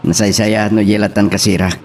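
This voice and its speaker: Actor as a low-voiced businesslike man. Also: little pitch movement, moderate pace, darkish tone, slight background noise, natural voice. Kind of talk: radio drama acting in Ilocano.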